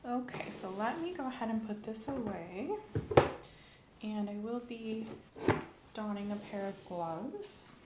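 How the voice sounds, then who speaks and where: A woman's voice: medium pitch, lively intonation, measured pace, roomy sound, very noisy. A woman doing an ASMR medical exam roleplay as an examiner, methodical and professional in the way she questions a patient.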